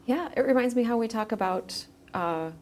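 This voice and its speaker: A woman's voice: on the high side, expressive, moderate pace, darkish tone. Thoughtful woman in casual discussion. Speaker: podcast guest, journal editor.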